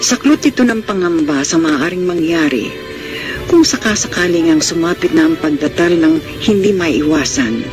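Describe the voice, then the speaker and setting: A man with a Filipino accent hosting a radio drama, narrating in formal, florid, deliberate phrasing. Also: a little high, lively intonation. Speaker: host of a radio drama anthology. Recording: radio broadcast with music behind. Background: music.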